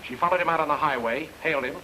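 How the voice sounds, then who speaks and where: Wry radio detective, noisy recording. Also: male voice, on the high side, very fast, sharp tone. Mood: casual, shrewd. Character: married amateur sleuth man, wry and quick-witted. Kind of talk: old-time radio detective drama acting.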